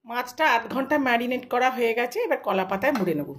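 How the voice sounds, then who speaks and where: A woman's voice: a little high, animated, fast talker, slight background noise. A woman, a home cook narrating a recipe step by step in plain, short, casual phrases. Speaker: home cook hosting a cooking channel.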